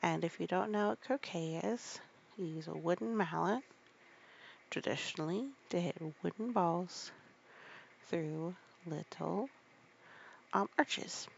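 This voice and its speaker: A drowsy woman storyteller reads softly and wanders into casual asides. Her voice is a little low, with lots of fry, and she takes her time. The recording is somewhat muffled. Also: slow pace, long pauses, a little hiss or hum. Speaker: storyteller reading aloud. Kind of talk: sleepy bedtime reading with casual asides.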